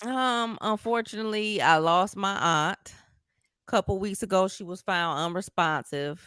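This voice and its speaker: Woman cohost, bright sharp voice, smooth and clear, sassy quick comebacks. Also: medium pitch, no echo, clean studio sound. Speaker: podcast co-host. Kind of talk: casual chat.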